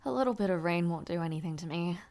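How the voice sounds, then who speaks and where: A young woman playing a gentle, shy noblewoman who aspires to knighthood, speaking softly and haltingly in an intimate audio roleplay. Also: on the low side, expressive. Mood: concerned, soft. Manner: soft, hesitant, halting speech.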